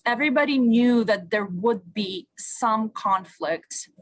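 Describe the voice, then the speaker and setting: A woman from Ukraine, a native Russian speaker, speaking as an interview guest in a halting way, searching for words. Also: a little high, expressive, no room sound. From Odessa, Ukraine; moved to Canada at eleven. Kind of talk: radio interview, personal account.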